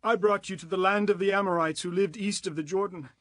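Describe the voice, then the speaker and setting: Casting: elderly biblical leader, grave, emphatic oratory, raised pitch, scored with music. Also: male voice, fairly even intonation, fast talker, fairly bright tone, no echo. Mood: solemn, declaring. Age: older. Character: aged male leader of Israel, solemn and exhorting. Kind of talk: dramatized scripture reading, oratory.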